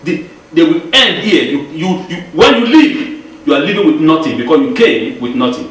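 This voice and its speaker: Animated high-voiced man preaching in an echoey room. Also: normal speed, a little hiss or hum. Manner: animated, repeats words, acts out little voices. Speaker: congregation teacher. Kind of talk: Torah portion sermon. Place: congregation hall. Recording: echoey room, mic far off.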